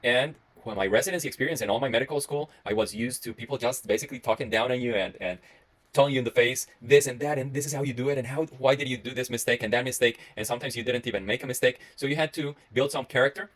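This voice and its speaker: A man from Costa Rica talks straight to camera in English with a Costa Rican Spanish accent. He speaks quickly at a mid pitch and rambles earnestly, with frequent filler sounds and restarts. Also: fairly bright tone. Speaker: internal medicine resident. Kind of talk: solo reflective talk to camera.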